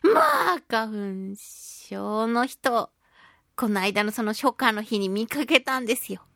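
Female solo radio host: high-pitched, expressive, giggly, chatty delivery in a dry studio sound. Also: slow, no echo. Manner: expressive, giggly, uses sound effects and little acted asides. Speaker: radio show host, voice actress. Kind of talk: casual solo radio talk.

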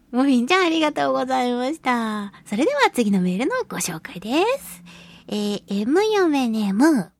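A woman hosting a casual solo radio talk and reading listener mail, chatty, giggly and expressive, with a slightly breathy voice. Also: high-pitched, normal speed. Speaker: radio show host and voice actress.

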